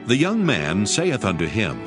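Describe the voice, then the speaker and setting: Lowish, expressive male scripture narrator. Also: measured pace, over music.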